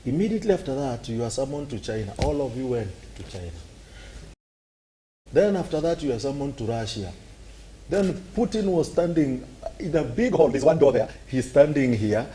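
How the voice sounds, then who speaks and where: Animated Kenyan man, dark voice. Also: medium pitch, normal speed, slight background noise. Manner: animated and argumentative, acts out scenes with sound effects. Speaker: political commentator. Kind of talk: talk show discussion.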